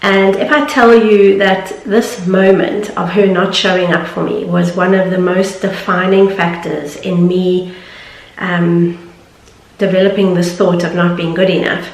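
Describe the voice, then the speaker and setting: A woman's voice: on the low side, level tone, moderate pace. Chatty woman, slightly nasal. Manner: chatty, earnest, laughs easily. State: slightly nasal from hay fever. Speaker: vlogger and confidence coach. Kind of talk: casual talk to camera. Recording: echoey room.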